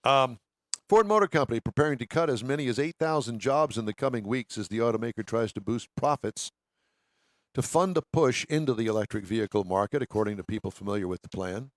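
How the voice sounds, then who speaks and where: A man's voice: mid-range voice, expressive, quick pace, dry recording. Wry male radio host, dry sound. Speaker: host of an automotive radio show. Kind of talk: radio hosting, reading items and bantering.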